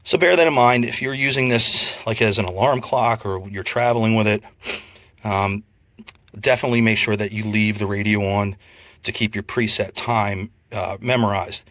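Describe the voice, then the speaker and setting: A man giving a casual, unscripted product review in a plainspoken, measured, explanatory way. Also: lowish voice, fairly even intonation, a bit bright, dry recording.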